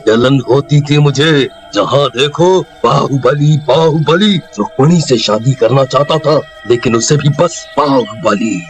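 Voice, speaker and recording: A bitter, scheming villain in a story drama, voiced by a man. He speaks slowly and melodramatically, with soft music behind. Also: medium pitch, lightly acted. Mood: bitter, resigned. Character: jealous, bitter brother, scheming male villain. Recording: music under the voice.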